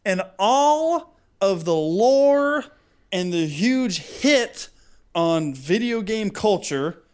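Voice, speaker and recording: A man's voice: high-pitched, big pitch swings, extremely slow, smooth. A man co-hosting a podcast in casual comedic banter, playful and mock-grandiose, stretching words for effect. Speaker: podcast co-host.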